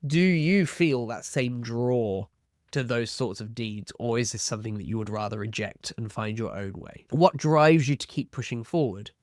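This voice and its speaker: A man with a mid-pitched voice presents an advice video in a conversational manner. He poses reflective questions to viewers and explains them like a helpful guide. The sound is dry, with very little room in it. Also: normal speed, a little mellow, no room sound. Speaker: host of a tabletop roleplaying advice channel.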